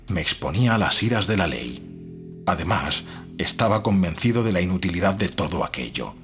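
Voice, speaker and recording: Deep-voiced male gothic audiobook narrator. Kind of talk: scripted dramatic narration of gothic fiction. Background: faint music.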